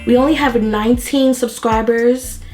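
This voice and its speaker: A woman's voice: a little high, moderate pace, faint music behind. Chatty young woman getting over a cold. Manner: chatty, laughs often, lively. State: recovering from a cold. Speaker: vlog co-host. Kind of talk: casual couple Q&A chat.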